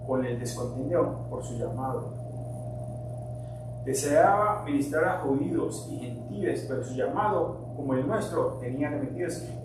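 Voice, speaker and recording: A middle-aged man born in Colombia whose first language is English, preaching a sermon earnestly, sometimes forceful and sometimes quiet. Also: on the high side. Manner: earnest preaching with shifts between emphatic and quiet. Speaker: pastor. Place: church sanctuary. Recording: very echoey, distant mic, hum.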